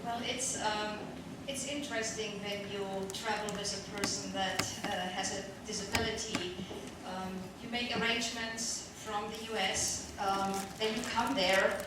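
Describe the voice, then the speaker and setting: A woman's voice: mid-range voice, fairly even intonation, unhurried, few pauses, recorded from a distance. Hesitant woman in a very echoey, noisy room. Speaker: panel speaker. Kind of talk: informal anecdotal account.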